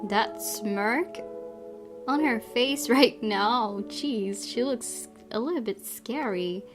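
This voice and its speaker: A woman's voice: on the high side, slow. A woman hosting a gaming video, reading story text aloud expressively with casual, informal reactions. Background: game music.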